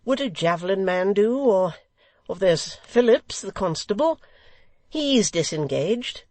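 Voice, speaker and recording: A woman's voice: medium pitch, sing-song, measured pace, darkish tone, no echo, voice pushed up. A woman voicing a testy, flustered male Lord Mayor who dithers and fusses. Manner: fussy, dithering.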